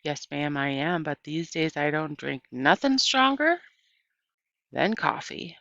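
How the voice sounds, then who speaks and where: A woman reader plays a kindly old storybook woman, plainspoken and folksy. Her words come slowly with a crackle in the voice, gently handing down advice. The sound is muffled and close. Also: low voice, big pitch swings, vocal fry, no room sound, exaggerated voice. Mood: firm, kindly. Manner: folksy, unhurried, gently instructive. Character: kind, plainspoken old woman with failing eyes.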